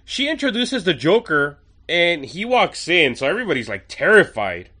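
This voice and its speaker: A man's voice: fairly high pitch, very animated, normal speed, bright, forceful tone. Chatty male podcast host, nasal from a cold. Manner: chatty, enthusiastic, wry asides. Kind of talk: casual episode review.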